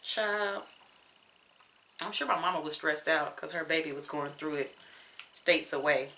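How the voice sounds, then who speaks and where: A young woman from Georgia speaks with a Southern accent, her voice low-pitched but bright and forward. She chats candidly and casually to her viewers as she works through her hair at home. A faint ambient hiss sits under the camera-mic sound. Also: measured pace. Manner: candid, chatty, talks straight to viewers with casual slang. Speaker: teacher and vlogger. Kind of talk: casual chatty vlog while detangling hair.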